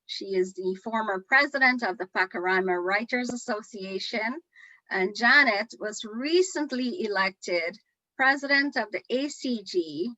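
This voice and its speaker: Bright-voiced woman hosting a livestream. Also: medium pitch, unhurried, no room sound, lo-fi sound. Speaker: host. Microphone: video call.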